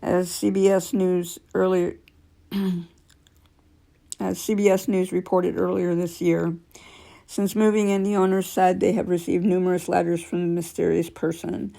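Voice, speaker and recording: Female storyteller, scratchy and dark-toned, reading real-life creepy tales with offhand asides. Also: lowish voice, flat delivery, unhurried, gravelly. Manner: stumbles and corrects herself while reading. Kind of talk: reading aloud with casual asides.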